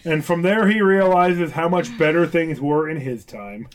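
A man's voice: fairly high pitch, unhurried. A man co-hosting a casual movie review podcast, tossing in dry, wry jokes. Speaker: podcast co-host.

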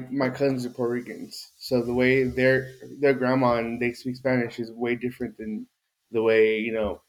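A man from San Francisco talks through a personal story at a home dining table. He rambles and restarts, hunting for words, and laughs easily. His voice sits at an ordinary pitch and rings in the roomy space. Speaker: guest. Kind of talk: casual group chat.

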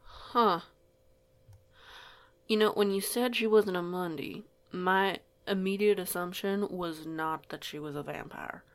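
A woman's voice: lowish voice, measured pace, no echo, close to an everyday voice. A woman playing a flustered, curious young newcomer in an audio roleplay, with a hesitant, rambling way of talking. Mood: surprised, curious. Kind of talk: audio roleplay acting, casual dialogue.

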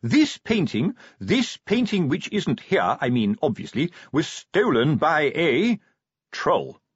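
A male narrator plays a self-important police sergeant, middle-aged and none too bright. He explains things pompously, with a raised, very animated pitch. The sound is a little dull. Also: slow pace, breathy edge, no room sound, heavily acted. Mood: pompous explaining. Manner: pompous, explanatory, tag questions.

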